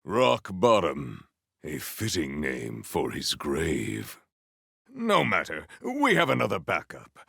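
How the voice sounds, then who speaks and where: Video game warrior, solemn and grandiose, stiffly formal diction, rough creaky voice, very dry close studio sound. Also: male voice, very deep voice, huge pitch swings, takes it slowly, fairly bright tone, dry recording, heavily acted. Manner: formal, stilted, declamatory speech without contractions. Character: solemn, proud warrior hunter with stately grandiose temper. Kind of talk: video game character acting.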